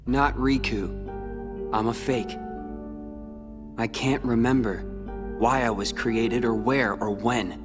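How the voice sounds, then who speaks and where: In a long reverb with music behind, a man plays a brooding teenage boy warrior from a video game. His delivery is slow, somber and guarded, with earnest intensity. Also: mid-range voice, steady tone, lightly acted. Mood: somber, accepting. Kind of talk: dramatic video game voice acting.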